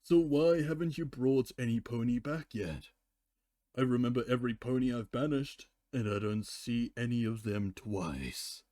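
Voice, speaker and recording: A man's voice: medium pitch, normal speed, warm tone, dry recording, studio quality, close to an everyday voice. A man voicing a sarcastic, dismissive female pony in a fan fiction reading, with a flippant, mocking delivery.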